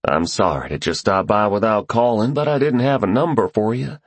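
A man's voice: on the low side, normal speed, dry recording, voice pushed up. A man voicing a smallish, humble, friendly Southern man in an audiobook, with a tentative, earnest drawl. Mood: apologetic, earnest.

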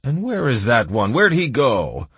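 A stern fantasy prison guard played by a male narrator growls out orders with grim impatience. The voice is husky and rough, lifted from a low natural register into forceful barking, in a dry storybook recording. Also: huge pitch swings, unhurried, dry recording, exaggerated voice. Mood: impatient.

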